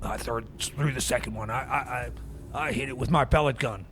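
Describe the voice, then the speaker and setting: A man playing a paranoid, ranting mechanic in a radio comedy skit, stammering and repeating himself indignantly in a raspy, gravelly voice. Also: medium pitch, brisk, a bit bright, a little hiss or hum, close to an everyday voice. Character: ranting paranoid holiday culture-warrior mechanic. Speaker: time machine mechanic character on a radio show.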